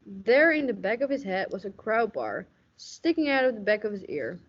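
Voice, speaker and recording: A woman playing a tough, deadpan police lieutenant narrating a noir radio murder mystery. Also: mid-range voice, normal speed, slightly rough. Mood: world-weary. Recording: slightly dull lo-fi recording.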